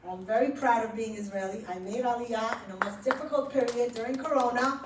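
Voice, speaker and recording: Iran-born woman author, lively storyteller. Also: a little high, a little fast. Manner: animated storyteller. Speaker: memoir author. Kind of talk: answering audience questions. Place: library event hall. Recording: roomy, distant with faint noise.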